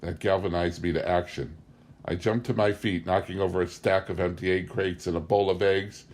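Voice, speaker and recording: A man with a fairly low voice narrates a book aloud. He delivers literary first-person memoir prose in the role of an audiobook reader. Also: fairly even intonation, moderate pace, darkish tone.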